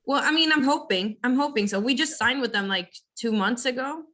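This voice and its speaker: A young woman with a naturally raspy voice, a rock singer chatting casually and hesitantly as an interview guest. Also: sits high, lively intonation, a little fast, bright, forceful tone. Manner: casual, hesitant, laughs often. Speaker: band vocalist, interview guest.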